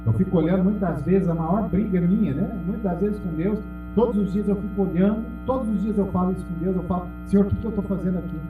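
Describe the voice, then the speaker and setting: A man's voice: fast, phone-quality sound. A man preaching a sermon with a Brazilian Portuguese accent, calling out to the congregation and pressing hard on key words. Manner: emphatic preaching, calls out to the congregation, drops low and loud on key words. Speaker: pastor. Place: church hall. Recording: echoey, from far off, music behind.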